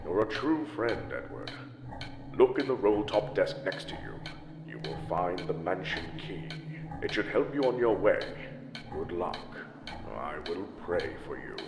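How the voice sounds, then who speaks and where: An actor playing an anxious older grandfather in a ghost story game, speaking gravely and earnestly with formal, theatrical phrasing. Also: low-pitched, very animated, unhurried, background noise, exaggerated voice. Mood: pleading, earnest. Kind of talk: dramatic game narration and dialogue. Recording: music underneath, sometimes echoey.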